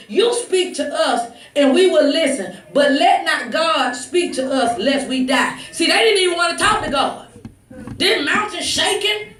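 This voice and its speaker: A woman preaches in a high voice, picked up from a distance in an echoey room. Her delivery is exhortatory and emphatic, moving between pointed conversational asides and forceful, raised declarations. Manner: exhortatory, emphatic, swings from hushed asides to shouts. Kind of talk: fervent sermon with scripture reading and conversational asides. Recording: echoey room, distant mic, faint noise.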